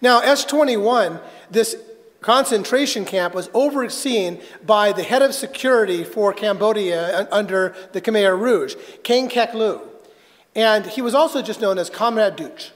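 High-voiced male pastor in an echoing hall. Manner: earnest, halting with dramatic pauses. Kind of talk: sermon, personal storytelling. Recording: reverberant hall.

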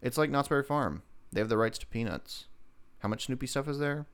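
A man's voice: mid-range voice, expressive, a little fast, clear, resonant tone. A man co-hosting a podcast in casual banter, with a playful, teasing manner that slips into mock quotes and sing-song. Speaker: podcast co-host.

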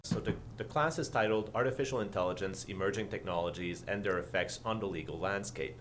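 Deep quebec french accent guy, visiting prof on a podcast, lots of uhs, slight hum behind. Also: brisk, fairly bright tone. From Quebec, Canada. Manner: hesitant, many uh fillers. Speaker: visiting law professor. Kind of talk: podcast interview.

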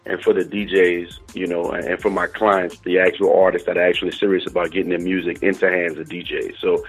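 A man's voice: deep voice, level tone, fairly quick, breathy edge, faint music behind, rough recording. A middle-aged man, talking informally as an interview guest on a radio call, peppering his talk with "you know". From New Orleans, American South. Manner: informal, uses "you know" and "bro" often. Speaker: interview guest, DJ and company owner.

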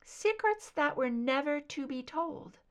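A shadowy, composed female informant performed by a woman narrator speaks in a very high, delicate soprano. Her lines are soft and clear, lilting up and down with cryptic, unhurried deliberation, in the manner of a thriller audiobook. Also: lively intonation. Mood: ominous, intent.

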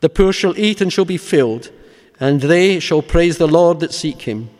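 A man solemnly reciting psalms, litanies and prayers in a measured, reverent, formal way as a prayer leader. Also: a little high, lively intonation, a little mellow. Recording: long echoing church-like reverb.

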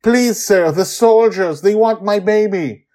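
A man's voice: high voice, unhurried, mellow, voice pushed up. A man voicing a frightened young mother of about thirty, pleading urgently in an audio story. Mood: desperate pleading.

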